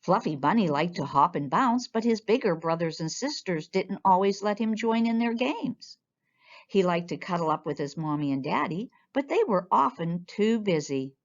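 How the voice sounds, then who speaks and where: A woman storyteller reading a children's picture book aloud in an expressive style. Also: fairly low pitch, moderate pace. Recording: dull, lo-fi sound.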